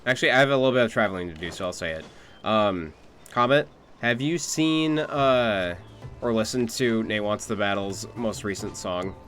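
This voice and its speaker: A young man chatting casually on a game livestream, thinking aloud in a halting way. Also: on the low side, fairly bright tone. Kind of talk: casual stream chat. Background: game music.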